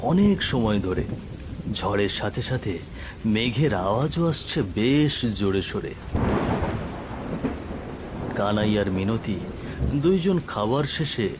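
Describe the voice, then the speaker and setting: A man's voice: mid-range voice, background noise. A man giving scripted story narration for a cartoon.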